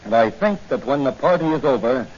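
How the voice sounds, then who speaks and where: A man's voice: mid-range voice, animated, fairly quick, darkish tone, natural voice. An actor playing a bold, playful policeman hero in 1940s radio adventure style. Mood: confident, wry. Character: confident crime-fighting policeman hero, wry and bold. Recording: muffled noisy 1940 radio recording.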